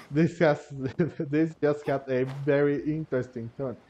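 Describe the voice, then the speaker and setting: A man giving casual, rambling commentary on a game playthrough, halting and repeating himself. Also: on the high side, steady tone, warm tone. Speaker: gamer, video host. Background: game music.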